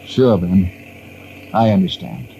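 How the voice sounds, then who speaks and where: A man playing a steady, caring hero and concerned friend, earnest and reassuring, in old-time radio drama style. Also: low voice, takes it slowly, creaky, muffled recording, heavily acted. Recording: noisy old radio recording.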